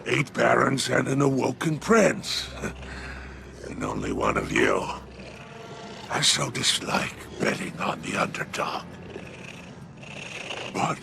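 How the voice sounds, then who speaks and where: Very gravelly, scheming alien crime lord. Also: male voice, mid-range voice, background noise, exaggerated voice. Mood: sly, amused. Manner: wry, theatrical, deliberate. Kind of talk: dramatic video game character acting. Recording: loud music under the voice.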